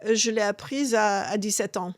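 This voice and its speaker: A woman, talking as a guest author in an interview, halting with many restarts and fillers. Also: medium pitch, no room sound, studio quality. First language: English. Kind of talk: interview conversation.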